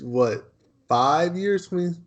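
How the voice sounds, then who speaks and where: A man's voice: fairly high pitch, lively intonation, slow. A man in his twenties who grew up in Texas, co-hosting a casual podcast chat in a laid-back way, repeating himself and laughing easily. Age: 20s. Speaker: podcast co-host.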